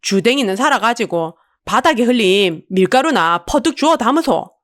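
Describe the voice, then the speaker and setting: A woman voicing a gruff, intimidating big man with a Busan accent, a blunt and commanding boss in an audio drama. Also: on the high side, lively intonation, normal speed, voice pushed up, natural voice. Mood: gruff scolding.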